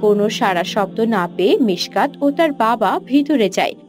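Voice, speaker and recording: A woman's voice: a little high, lively intonation, moderate pace, soft background music. A woman reading scripted narration for a children's cartoon story.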